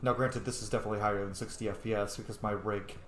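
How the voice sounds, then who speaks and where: A man's voice: on the low side, steady tone, rapid-fire, slight background noise. A man chatting loosely over his playthrough from an echoey room, the kind of informal host heard on a long roleplay series. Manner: rambling, informal, sweary. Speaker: gaming video host. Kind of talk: casual let's play commentary and roleplay. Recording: roomy echo, game music behind. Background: video game music.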